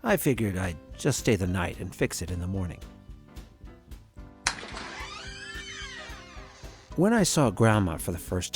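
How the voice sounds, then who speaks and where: A man narrating a scripted horror story in the first person as a haunted grandson, with measured, dramatic delivery and deliberate pauses. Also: low voice, a little mellow, full character voice. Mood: somber, uneasy. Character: haunted grown grandson recounting a frightening visit. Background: music.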